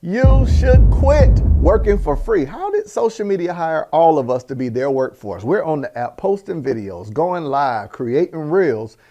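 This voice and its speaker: A man with a Southern American accent, an energetic and folksy business coach talking straight to his viewers. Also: fairly high pitch, unhurried, few pauses, muffled recording, faint music behind. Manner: energetic, animated, folksy with jokes and laughs. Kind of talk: direct-to-camera teaching talk.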